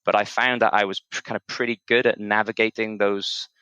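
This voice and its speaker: A Welsh man in his thirties talks in a low register with a bright, forceful edge. He reflects on his past, hedging with small phrases. The sound is close and dry. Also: flat delivery, a little fast, clear, resonant tone, no echo, dull audio. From Wales. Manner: thoughtful, many hedges like "kind of" and "I suppose". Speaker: podcast guest. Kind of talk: reflective conversational interview.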